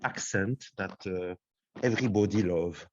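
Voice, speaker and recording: A man's voice: low voice, a little slow, lo-fi sound, a loud crowd. A man with a French accent, sick with a cough, chatting playfully in broken English on a video call. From France. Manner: playful, chanting and sing-song, broken English. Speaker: community member. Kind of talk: casual video call chat.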